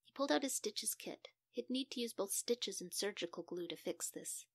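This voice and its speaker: A woman's voice: mid-range voice, animated, moderate pace, creaky, breathy edge, dry recording, whispered, clean studio sound. A woman narrating a scripted children's story as a storyteller.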